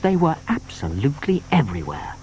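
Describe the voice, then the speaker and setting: A man with a British accent narrating a scripted children's story in an expressive storytelling manner. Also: on the high side, a little fast, slight background noise.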